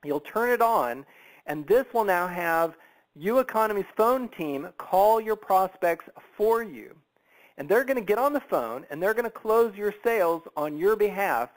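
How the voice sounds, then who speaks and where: A man presenting an enthusiastic business sales pitch in a persuasive, emphatic and conversational way, tossing in rhetorical questions. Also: lively intonation, measured pace, poor audio. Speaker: sales presenter on a business opportunity conference call.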